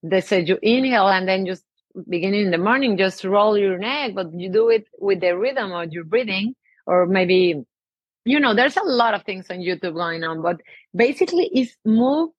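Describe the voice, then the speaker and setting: A woman from Mexico with a Mexican Spanish accent, a yoga teacher speaking as a guest in a casual interview. She talks gently and searches for words, often restarting with "I mean". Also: medium pitch, lively intonation, moderate pace, slightly rough, no echo, muffled recording.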